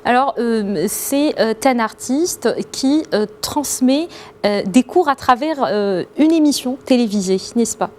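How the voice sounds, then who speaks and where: Female news anchor, fairly high voice, hesitant and halting in unscripted studio links. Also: animated, darkish tone.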